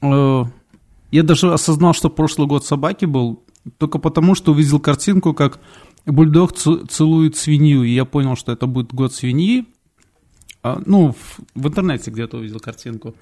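A man co-hosting a podcast, making dry jokes in unhurried casual chat. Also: a little high, mellow. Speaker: podcast co-host.

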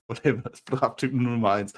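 A man's voice: medium pitch, fairly even intonation, moderate pace, breathy edge. A man co-hosting a wrestling review in casual, opinionated banter, with joking, ironic asides. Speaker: wrestling show review co-host.

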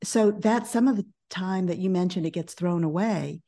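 Middle-aged woman guest, calm measured coach, mellow low voice. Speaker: guest, business coach and author. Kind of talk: podcast interview.

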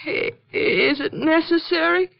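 An American actress playing a grieving but hospitable sheriff's widow in a 1950s radio drama, folksy and halting in her speech. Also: extremely high pitch, lively intonation, gravelly, exaggerated voice. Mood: anxious, resolute. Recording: dull old radio recording.

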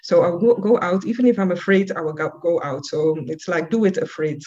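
A woman's voice: lowish voice, a little fast, a little mellow. A woman guest author who speaks English as a second language, answering earnestly with many hesitant ums. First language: not English. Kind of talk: interview answers. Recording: dull lo-fi audio.

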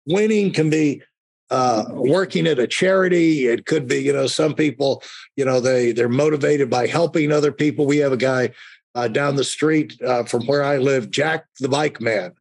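A man hosting a conversational podcast interview, talking in a halting, rambling way and often rephrasing himself. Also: medium pitch, gravelly, slightly rough.

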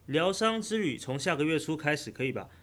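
A man voicing a calm, teasing young insurance agent in an audio drama. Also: fairly high pitch, brisk.

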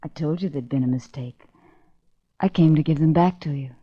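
A scheming seductress, her voice fairly low and sultry. She speaks with cool calculation in 1940s radio style, captured on a muffled vintage recording. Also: brisk, husky. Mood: coaxing. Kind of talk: 1940s radio drama acting.

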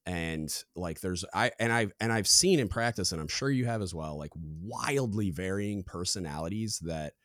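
Deep-voiced man rambling casually. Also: lively intonation, normal speed, few pauses, fairly bright tone, no room sound, studio quality. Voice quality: deep booming voice. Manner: rambling, filler-heavy, candid. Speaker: podcast co-host. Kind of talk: casual podcast conversation.

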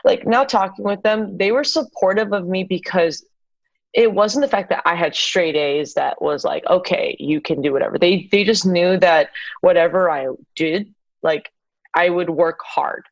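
A woman's voice: on the low side, moderate pace, no echo, muffled recording. A young woman talking casually as an interview guest, chatty with lots of fillers. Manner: chatty, many fillers, laughs easily.

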